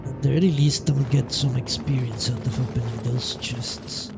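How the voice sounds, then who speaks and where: A man's voice: medium pitch, steady tone, normal speed, gravelly, over loud music. A man casually commentating on his own gameplay live, thinking aloud and muttering to himself with mild swearing. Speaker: live game streamer.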